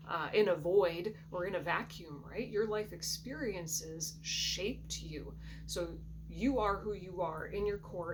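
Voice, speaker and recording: Slow, groggy-voiced woman reading tarot. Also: on the low side. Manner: soft, reflective, unhurried, often clears throat. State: groggy morning voice. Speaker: tarot reader. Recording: steady background hum.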